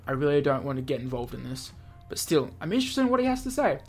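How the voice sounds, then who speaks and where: A man's voice: a little high, very animated, fast, a little mellow. A man reads a visual novel aloud as a gaming host, over game music. He trips over words now and then and breaks off from the script into his own asides. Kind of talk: let's play, reading visual novel aloud with reactions. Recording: music behind the voice.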